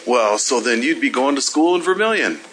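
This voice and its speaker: A man chairing a legislative committee hearing in a hearing room, easygoing and folksy, speaking into a desk microphone with plenty of filler pauses. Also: mid-range voice, lively intonation, background noise.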